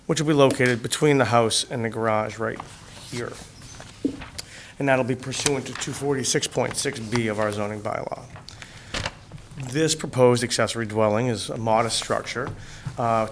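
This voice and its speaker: A man presenting an application as an attorney to a town board, measured and methodical, his voice hoarse from a cold. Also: medium pitch. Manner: measured, methodical, with frequent "uh" fillers. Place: town meeting room. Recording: noisy public-access meeting recording.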